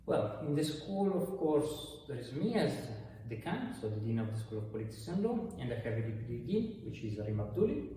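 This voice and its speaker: A man's voice: mid-range voice, very animated, fairly quick, few pauses, dark tone. A man from Italy with an Italian accent, a university dean answering interview questions with many hesitations and restarts. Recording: echoey hall, voice from far off.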